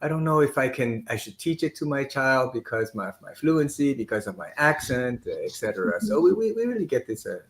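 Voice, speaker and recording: A man co-hosting a live online interview over a video call. His voice is mid-pitched and he stumbles through his sentences, repeating words as he goes. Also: very animated, fairly quick, few pauses, reverberant. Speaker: co-host.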